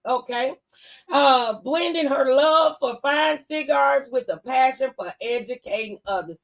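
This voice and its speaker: American talk show host, live interview, emphatic announcer-like phrasing, mid pitch. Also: unhurried, gravelly, a bit bright, low-quality recording.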